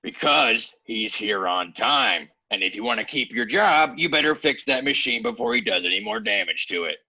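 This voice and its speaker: A man playing an imposing, stern basilisk mine foreman with a gruff, raspy voice and curt manner in a sci-fi table read. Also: mid-range voice, animated, moderate pace, pushed and bright, heavily acted. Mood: stern, annoyed. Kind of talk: video-call table read of sci-fi fan fiction. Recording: dull, lo-fi video call audio.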